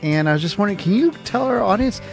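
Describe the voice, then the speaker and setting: A man's voice: high-pitched, animated, quick pace, creaky, faint music behind. A man hosting an interview casually, with an enthusiastic, admiring manner. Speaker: interview host.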